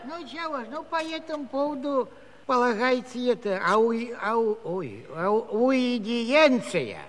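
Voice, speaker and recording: A man's voice: very high-pitched, animated, measured pace, fairly bright tone, big-room sound, a faint crowd, exaggerated voice. An actor playing a flustered, folksy old Cossack man who stammers and repeats his words in a comic musical play. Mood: festive, muddled.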